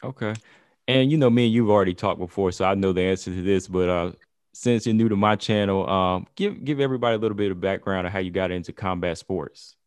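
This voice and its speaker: A man's voice: sits low, fast talker, clear, resonant tone, dry recording, studio quality. A man hosting his channel, asking casual, rambling interview questions full of fillers.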